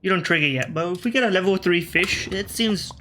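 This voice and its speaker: A man's voice: high-pitched, a little fast, bright, forceful tone, a little hiss or hum. A man from Australia with an accent shifted by British media, talking through a strategy game in casual commentary. He thinks aloud, corrects himself and tosses wry asides at his opponents. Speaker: gaming video creator.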